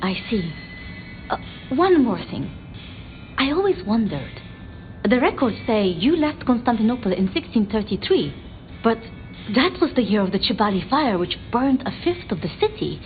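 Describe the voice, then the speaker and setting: Timid scholarly vampire, game dialogue, high lilting voice, apologetic hesitations, slightly muffled sound over music. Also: female voice, big pitch swings, normal speed. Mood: hesitant curiosity. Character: timid, bookish, grieving female vampire scholar, eager and deferential. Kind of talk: dramatic video game dialogue. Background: background music.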